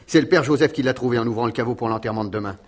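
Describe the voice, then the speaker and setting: A man's voice: mid-range voice, very fast, natural voice. An actor playing an eager, chatty male subordinate in a 1950s-style French radio mystery, colloquial and matter-of-fact. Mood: excited.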